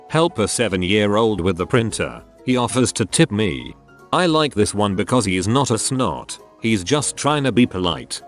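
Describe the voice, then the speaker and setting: Low-voiced man reading anecdotes. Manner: steady, even reading of short anecdotes one after another. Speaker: narrator of online forum stories. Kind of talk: scripted story narration.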